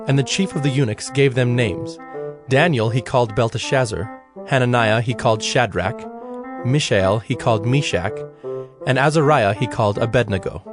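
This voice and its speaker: A man narrating a scripted, dramatized scripture reading in a measured, solemn manner. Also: mid-range voice. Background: instrumental music.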